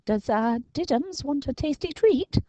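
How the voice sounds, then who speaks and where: A woman's voice: high voice, animated, a little slow, dark tone, no room sound, voice pushed up. A nervous, clumsy storybook monster, as a woman reads him out, speaking in a raised, hesitant voice with fillers. The delivery stays close and dry.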